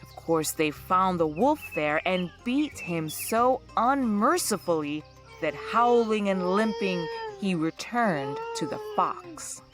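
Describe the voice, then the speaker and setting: A woman's voice: medium pitch, very animated, takes it slowly, music in the background. A woman hosting a storytelling podcast, reading scripted narration in a true-crime style.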